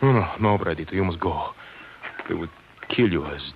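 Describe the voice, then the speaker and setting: Old mexican servant in a radio play, low voice, humble n pleading, spanish accent, really noisy old recording. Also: male voice, animated, narrow, tinny audio. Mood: anxious, pleading. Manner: halting, pleading, deferential. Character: loyal elderly Mexican servant, humble, anxious, protective. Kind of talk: 1950s radio acting.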